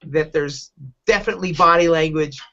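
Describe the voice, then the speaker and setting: A middle-aged man hosting a podcast, telling personal stories casually in a halting, candid, rambling way. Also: on the high side, pushed and bright. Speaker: podcast host, dog advocate. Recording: dull lo-fi podcast audio.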